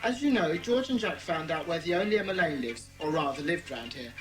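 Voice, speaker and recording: A woman's voice: low-pitched, expressive, brisk, low-quality recording. Earnest British schoolboy voiced by a woman, club leader, well-spoken, children's audiobook drama, music behind. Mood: businesslike.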